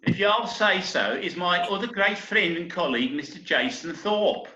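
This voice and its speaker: A man's voice: sits high, lively intonation, moderate pace, pushed and bright. A man playing an earnest, slightly flustered theatre troupe leader addressing the audience in a comic play reading. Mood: earnest, announcing. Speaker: amateur actor in a remote group reading. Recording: echoey, dull internet call recording.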